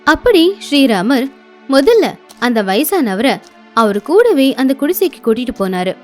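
A woman narrating an animated children's story in an expressive storytelling manner. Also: high voice, measured pace, soft background music.

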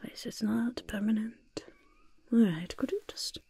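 A young woman voicing a grudging, smug goblin disguise artist in a breathy, raspy, soft-spoken ASMR roleplay, muttering reassurances close to the microphone. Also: fairly high pitch, unhurried, heavily acted. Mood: fussy, soothing. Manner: refers to self by name, mutters and repeats reassurances. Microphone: close microphone, face close to camera.

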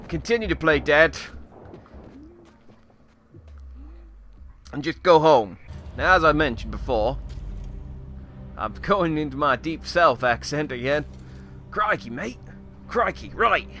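A man's voice: on the high side, sharp tone. A man voicing a bumbling, boastful Australian survival expert with a wandering accent, rambling through mock lessons as game commentary. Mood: mock-instructive, then playful rambling. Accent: put-on wandering Australian. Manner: hesitant, rambling, mock-instructional. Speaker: gameplay commentator. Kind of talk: improvised in-character comedic game commentary.

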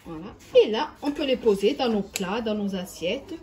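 A woman's voice: medium pitch, expressive, normal speed, darkish tone, a little hiss or hum. A woman hosting a home cooking video, chatting step by step and talking straight to her viewers. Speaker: cooking channel host. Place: home kitchen.

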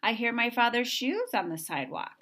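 A woman reading a children's picture book aloud in an expressive way, with simple, deliberate phrasing for young listeners. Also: a little high. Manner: expressive, acting out sound effects. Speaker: storybook reader.

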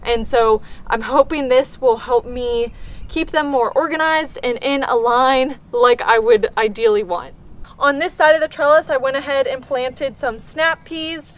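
A woman's voice: high-pitched, measured pace. A woman vlogging casually as she walks through her home garden and explains her plantings. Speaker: homesteading vlogger. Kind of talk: casual garden tour vlog. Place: outdoors in a backyard garden.

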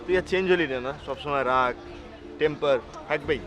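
A man's voice: a little high, fairly quick, over music, close to an everyday voice. A young man acting an earnest, reassuring poor young friend and son in a short-film drama. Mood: earnest, chiding.

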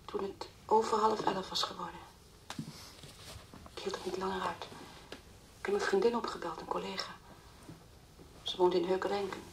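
An actress playing the anxious, distraught mother of a missing girl in a Dutch radio play, halting and repeating her words. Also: mid-range voice, steady tone, natural voice. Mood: anxious, recounting. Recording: old radio play recording, faint hiss.

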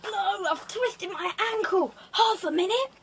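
A woman's voice: extremely high pitch, a little slow, slight background noise. A woman playing an eager, good-natured English schoolboy in a children's audio drama, with a British accent. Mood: pained, rueful.

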